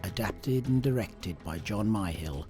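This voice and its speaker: Lowish-voiced male announcer over music. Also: lively intonation, a little slow, warm tone. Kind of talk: scripted credits narration.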